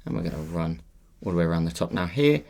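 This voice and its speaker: A man hosting a step-by-step drawing tutorial, patiently walking viewers through each move, his voice a little croaky. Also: low voice, lively intonation, fast talker, a little mellow. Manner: patient, instructive walkthrough. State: slightly croaky voice. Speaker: tutorial host.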